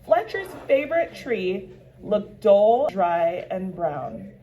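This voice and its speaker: A woman's voice: medium pitch, takes it slowly, lo-fi sound. A woman working as a teacher and storyteller, speaking expressively and directly to her listeners as for a children's read-aloud. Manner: animated, addresses listeners directly.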